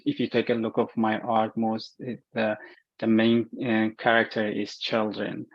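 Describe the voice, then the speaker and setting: A slow-talking man introduces his paintings in a level, even voice, pausing often to find his words. The sound is dry and a bit muffled, as if captured on modest equipment in a gallery. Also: lowish voice, no room sound. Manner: halting, searching for words, many fillers. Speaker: visual artist. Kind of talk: speaking at an art show.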